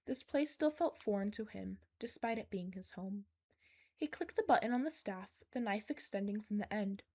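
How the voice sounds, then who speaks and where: A woman's voice: medium pitch, expressive, moderate pace, dark tone. Woman narrating a story. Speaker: fan fiction reader.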